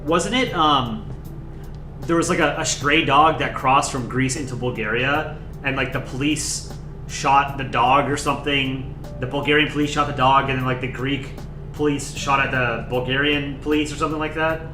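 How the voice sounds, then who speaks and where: A young man hosting a casual livestream, chatting in a relaxed, rambling way and thanking viewers by name. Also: mid-range voice, normal speed, reverberant, over music. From United States. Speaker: livestream host. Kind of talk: casual chat.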